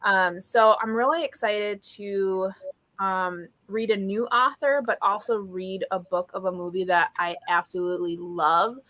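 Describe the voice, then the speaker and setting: A woman's voice: medium pitch, steady tone, a little slow, fairly bright tone. A woman in her thirties hosting a casual book live stream over a video call, chatting in an enthusiastic, informal way. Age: 30s. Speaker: host of a book readalong live show.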